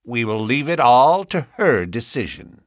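A man's voice: medium pitch, big pitch swings, a little slow, dry recording. A man plays a vain young suitor with a nasal voice and a smirking, self-satisfied manner. The sound is dry and close. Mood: smug.

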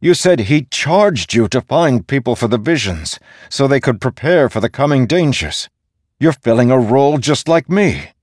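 A man's voice: sits low, lively intonation, normal speed, no room sound, clean studio sound. Man playing a defiant, weary commanding general. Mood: challenging. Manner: insistent, demanding, earnest. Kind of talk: dramatic fantasy audiobook character.

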